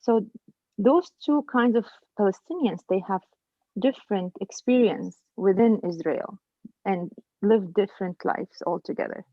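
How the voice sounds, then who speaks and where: A woman's voice: medium pitch, measured pace, dry recording. Woman explaining stuff earnestly in a group chat, restarts a lot, dry tinny phone sound. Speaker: book discussion participant. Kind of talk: casual group discussion.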